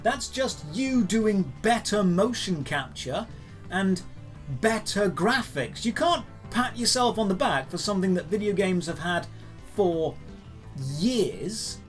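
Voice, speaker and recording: A man's voice: high-pitched, expressive, slow, faint music behind. A man hosting geek news commentary, speaking in an emphatic, opinionated and sarcastic manner. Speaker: host of a daily geek news show. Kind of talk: scripted news commentary to camera.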